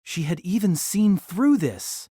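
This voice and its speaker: A woman with a low voice narrates a novel in steady storytelling, dry and close to the mic. She also reads the male lead's lines. Her delivery is measured and even. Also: breathy edge, dry recording.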